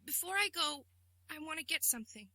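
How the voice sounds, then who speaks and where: A woman voicing a little filly pony who is clingy and tearful and talks in halting pleas. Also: sits high, expressive, bright, forceful tone, faint music behind, heavily acted. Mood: quiet. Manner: halting and pleading.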